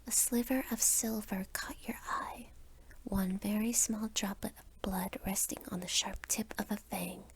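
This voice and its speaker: A young woman narrating a scripted second-person ASMR story in a soft whisper. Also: a little high, a little hiss or hum, poor audio. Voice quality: whispery, breathy.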